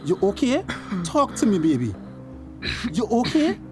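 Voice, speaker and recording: A man's voice: high-pitched, huge pitch swings, unhurried, over music, heavily acted. A man playing a flustered, hesitant uncle in a radio drama, with a Tobagonian accent. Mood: anxious.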